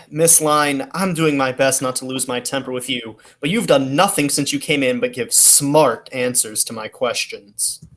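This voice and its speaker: A woman's voice: very deep voice, unhurried. A woman playing a firm, businesslike male detective in a 1940s-style radio drama. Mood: stern, controlled.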